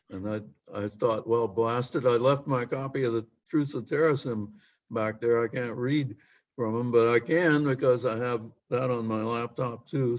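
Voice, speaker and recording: Man, mid pitch, chatty storyteller, muffled lo-fi call sound. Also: a little mellow. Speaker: member checking in. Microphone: video call. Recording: dull lo-fi call audio.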